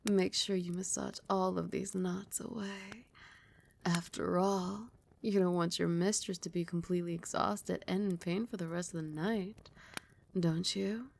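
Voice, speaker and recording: A woman voicing a doting, commanding vampire queen in an intimate ASMR roleplay, with formal, coaxing speech and a breathy voice that often drops into whispers. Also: on the low side, a little mellow, slight background noise. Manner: slow, formal, coaxing pet names.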